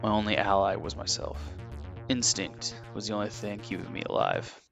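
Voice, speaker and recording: Gravelly, solemn haunted warrior. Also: male voice, fairly low pitch, fairly bright tone, faint music behind. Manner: solemn, deliberate phrasing. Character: grave male ex-disciple warrior with tortured past. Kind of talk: dramatic audio drama monologue.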